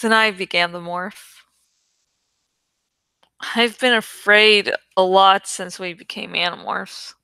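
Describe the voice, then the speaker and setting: A woman narrates adventure fiction with expressive suspense. Her voice is bright and smooth, a little gravelly at the edges. She takes her time with each line. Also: mid-range voice, slow pace, pauses often, dry recording. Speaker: audiobook reader. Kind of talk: dramatic scripted narration of a young adult novel.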